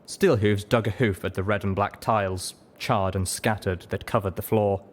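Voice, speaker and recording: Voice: low-pitched, steady tone, moderate pace, a little hiss or hum. A narrator reading scripted first-person fiction as an audiobook.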